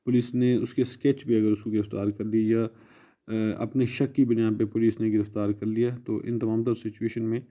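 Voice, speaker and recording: A Pakistani man lectures students in a low-pitched, very dark and soft-edged voice. He explains methodically, restating technical terms in simpler words. The audio is somewhat dull and lo-fi. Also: little pitch movement, moderate pace. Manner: explanatory, restating English legal phrases in plain terms. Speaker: law lecturer. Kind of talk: exam-prep lecture mixing Urdu and English.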